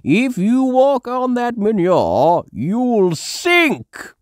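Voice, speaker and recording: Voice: mid-range voice, sing-song, drawn-out words, no echo, studio quality, pitched above the performer's own voice. A storyteller voicing a well-meaning, confident old grandfather pig in a children's storybook. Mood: warning. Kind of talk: children's storybook read aloud.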